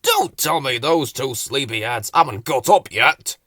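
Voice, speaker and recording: A man voicing a slow, teasing tortoise in a children's storybook, loud and nagging, with drawn-out emphatic words. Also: medium pitch, lively intonation, no room sound. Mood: bossy, rousing.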